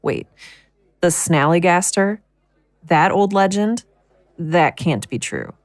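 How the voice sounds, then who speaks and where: A woman playing a worn-down, guilt-ridden mother in an audio drama, confessing haltingly and in anguish. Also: fairly low pitch, lively intonation, no echo. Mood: bewildered.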